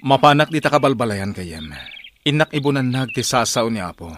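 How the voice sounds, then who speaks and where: Man as peaceable pious traveller, pleading manner, mid-pitched, radio drama, very noisy old recording. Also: lively intonation, a little fast, fairly bright tone, close to an everyday voice. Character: devout travelling preacher on horseback, peaceable and pleading.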